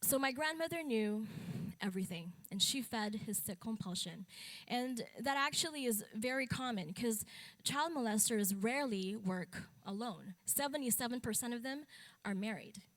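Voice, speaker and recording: A woman's voice: on the high side, expressive, normal speed, fairly bright tone. A young adult woman from the Philippines giving a conference talk as a speaker and advocate, candid and matter-of-fact with wry humor. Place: stage at a talk event.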